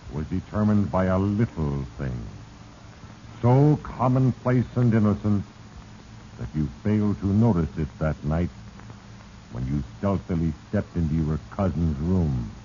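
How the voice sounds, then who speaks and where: An actor playing Fate, a sinister, solemn spirit who narrates mortals' doom in a grave, deliberate manner for a 1940s radio drama. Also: male voice, low-pitched, mellow. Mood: grave accusing. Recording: dull, noisy 1940s radio recording.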